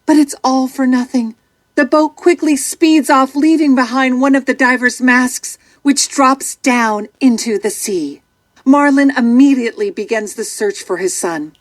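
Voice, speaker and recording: A woman narrating a scripted children's story in an expressive, dramatic way. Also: sits high, unhurried, no room sound.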